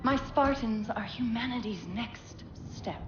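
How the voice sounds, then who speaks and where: An actress playing a proud military scientist under interrogation in a game cutscene, cool, precise and unapologetic. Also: female voice, on the high side, a little slow, dull audio, noisy background, close to an everyday voice. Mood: proud warning. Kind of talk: dramatic video game cutscene acting. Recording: echoey room with music behind.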